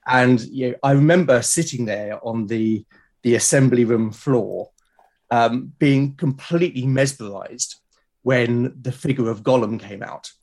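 A man's voice: medium pitch, a little slow, darkish tone. A man in his forties with a British accent, chatting casually as an interview guest, reflective and enthusiastic. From United Kingdom. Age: 40s. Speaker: podcast guest, illustrator.

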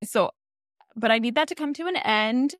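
A young woman co-hosting a podcast, gossiping in a rambling, animated way full of "like"s. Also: fairly high pitch, moderate pace, dry recording, clean studio sound. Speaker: podcast co-host. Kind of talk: casual chat.

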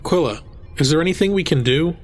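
Audio drama role: earnest man who travels through time, urgent, explanatory, slightly high voice male actor. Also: lively intonation, a little fast, natural voice. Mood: urgent concern.

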